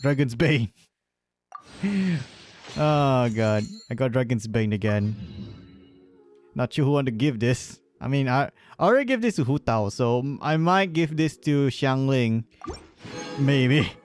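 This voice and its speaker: A man's voice: medium pitch, expressive, measured pace, long pauses, faint music behind. A man doing casual livestream commentary over a game, rambling and repeating himself, often chuckling between phrases. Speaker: live streamer.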